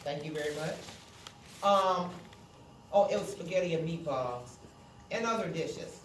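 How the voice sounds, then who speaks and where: A woman's voice: lowish voice, animated, measured pace. A woman teaching a classroom lesson, explaining step by step, repeating herself and checking that students understand. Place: classroom, indoors. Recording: roomy, noisy classroom, distant mic.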